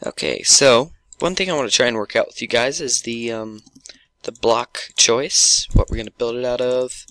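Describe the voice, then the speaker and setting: A man with a sore throat and a stuffy nose, chatting through a gameplay video and talking in a halting way with lots of ums and restarts. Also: mid-range voice, sharp tone, no echo. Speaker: gaming YouTuber. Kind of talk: casual gameplay commentary.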